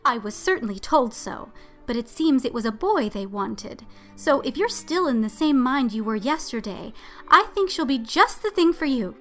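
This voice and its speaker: A woman's voice: high-pitched, very animated, moderate pace, a bit bright, faint music behind. A woman playing a fussy, bustling, chatty small-town housewife who gushes and exclaims, in a dramatized storybook. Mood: brisk, pleased. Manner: effusive, exclamatory, gushing. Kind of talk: dramatized audiobook acting.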